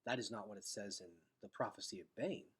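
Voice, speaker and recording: A man's voice: fairly low pitch, fairly quick, husky. A man voicing a thoughtful young queen in a storybook, with formal, stilted phrasing.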